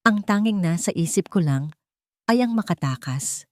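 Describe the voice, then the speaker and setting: Woman doing a gentle carpenter dad in a love story, soft and thoughtful, lowish voice, no room echo. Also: lively intonation, moderate pace, clean studio sound, natural voice. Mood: reflective. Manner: soft-spoken, reflective. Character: gentle, reflective man, a devoted family carpenter.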